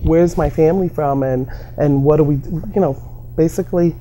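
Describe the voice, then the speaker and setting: A middle-aged American woman answering informally in an interview, halting and often repeating her phrases. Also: sits low, steady tone, warm tone, a little hiss or hum, rough recording. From New York and Massachusetts, United States. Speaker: interviewee, community worker.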